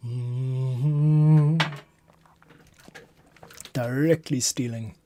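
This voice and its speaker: A man giving a casual screencast tutorial, thinking aloud and muttering to himself with pauses as he works. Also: medium pitch, steady tone, dark tone. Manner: muttering to himself, pausing while working. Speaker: composer, streamer host. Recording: faint noise, keyboard and mouse clicks. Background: typing, mouse clicks.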